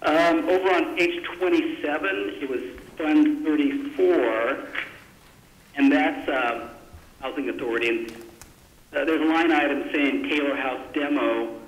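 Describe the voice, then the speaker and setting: A man speaking as a council member, politely and haltingly asking budget questions in a public meeting. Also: mid-range voice, fairly even intonation. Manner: hesitant, polite, self-interrupting. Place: indoor council chamber. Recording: echoey meeting room.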